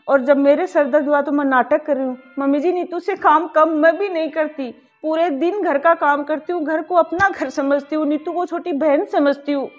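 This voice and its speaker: A tired elder daughter-in-law, acted by a woman in a domestic skit, pleading and aggrieved about unfair treatment. High voice, muffled lo-fi sound. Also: natural voice. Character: weary elder daughter-in-law, overworked housewife. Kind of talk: family skit acting. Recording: dull lo-fi recording.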